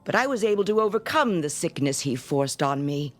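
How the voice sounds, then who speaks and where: Stern schoolteacher, swooping low voice. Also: female voice, expressive, fairly quick. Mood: cold, accusing. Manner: commanding, drilling, insistent. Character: stern, domineering female schoolteacher, coldly obsessive. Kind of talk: vintage-style dramatic radio acting. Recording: music under the voice.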